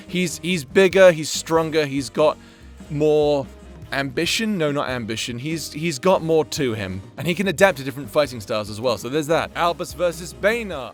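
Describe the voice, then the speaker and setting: Male commentator, slightly high-pitched voice, casual and unscripted, weighs options aloud, faint music behind. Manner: thinks aloud, weighing and second-guessing before giving blunt verdicts; mild swearing. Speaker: audio creator ranking his fictional characters in matchups.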